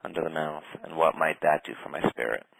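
A man guiding a tapping session in a calm, steady way, naming tapping points and saying short phrases for the other person to repeat. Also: very deep voice, creaky, husky, no echo, rough recording. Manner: calm, slow, leads phrases for repetition. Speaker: tapping practitioner.

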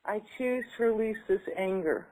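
A woman's voice: mid-range voice, normal speed, warm tone. A woman taking part in a tapping session, echoing phrases back and speaking hesitantly with many fillers when she talks freely. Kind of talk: repeating affirmations, then casual talk.

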